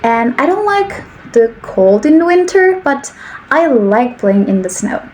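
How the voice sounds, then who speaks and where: Young Turkish woman speaking slowly, light voice. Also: on the high side, big pitch swings, a little mellow, a little hiss or hum. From Turkey. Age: 20s. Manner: slow, careful speech with simple sentences. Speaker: English teacher. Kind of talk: slow English practice monologue.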